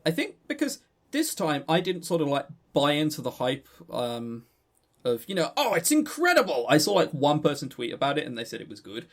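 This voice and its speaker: A man's voice: a little high, wildly swooping pitch, brisk. Rambling Englishman on a podcast. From England. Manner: rambling, stammering with repeated words. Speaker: podcast co-host. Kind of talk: casual discussion and review.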